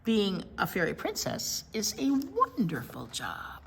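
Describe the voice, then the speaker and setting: A woman's voice: medium pitch, wildly swooping pitch, measured pace, vocal fry, fairly bright tone, slight background noise, full character voice. Woman voicing a determined, boastful little schoolgirl. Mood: insistent. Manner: boastful, insistent. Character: determined young schoolgirl who plays fairy princess.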